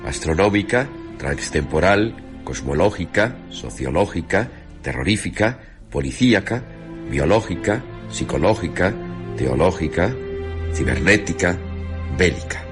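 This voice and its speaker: A man's voice: low voice, animated, unhurried. A man with a Castilian Spanish accent giving formal scripted radio narration with emphatic enunciation. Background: music.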